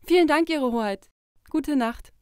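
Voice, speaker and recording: A woman voicing a kind, polite young princess in a fairy tale cartoon, courteous and gentle. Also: sits high, unhurried.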